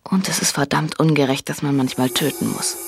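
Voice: medium pitch, steady tone, fairly quick, creaky, natural voice. An actor playing an indignant, streetwise twelve-year-old boy on the run, muttering his thoughts to himself in a radio drama. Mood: bitter. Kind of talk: radio drama monologue, thinking aloud. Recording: studio radio drama with loud music.

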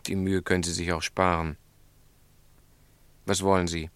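An actor playing a stubborn, upright snack-bar owner in a German radio drama, speaking in a firm, curt, defensive way. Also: male voice, low voice, little pitch movement, moderate pace, a bit bright, clear, resonant tone, no room sound.

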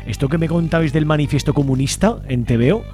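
A man's voice: fairly high pitch, a little fast, mellow. A man with an accent from Spain, hosting a casual radio chat in an informal way. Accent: Spanish from Spain. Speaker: radio show host. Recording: faint music behind.

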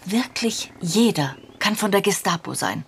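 A woman's voice: medium pitch, expressive, unhurried, creaky, a little hiss or hum. An actress playing a strict, cautious French resistance woman who admonishes formally, in a German radio drama. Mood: stern, warning.

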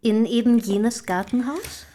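A woman's voice: a little high, unhurried, mellow, a little hiss or hum, natural voice. An actress playing an eager, self-assured young noblewoman writer of the early 1800s in a radio play, with formal, inquisitive diction. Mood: inquisitive, polite. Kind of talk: German radio play acting.

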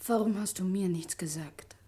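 A woman's voice: fairly low pitch, moderate pace, mellow, close to an everyday voice. An actress playing a distraught, devoted woman with an emotional, dramatic manner in a vintage radio crime play. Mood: hurt, shaken. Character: distraught, devoted woman pleading with her lover.

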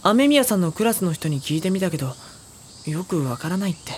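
A woman playing a polite, hesitant high school boy in an audio drama. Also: lively intonation, brisk, a little hiss or hum, natural voice. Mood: puzzled. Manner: polite, hesitant, slightly exasperated. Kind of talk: Japanese audio drama acting.

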